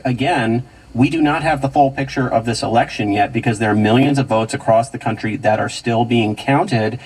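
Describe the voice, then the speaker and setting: A man's voice: mid-range voice, darkish tone, husky. An American man giving live political news analysis as a correspondent. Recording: distant car radio picked up by camera.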